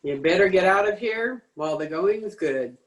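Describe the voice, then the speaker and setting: A woman's voice: on the low side, expressive, normal speed, muffled recording, natural voice. A woman playing a suspicious, threatening crook's accomplice in an old-time radio drama, hard-boiled and challenging. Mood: menacing. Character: tough, suspicious female accomplice, curt and threatening. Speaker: amateur radio drama player.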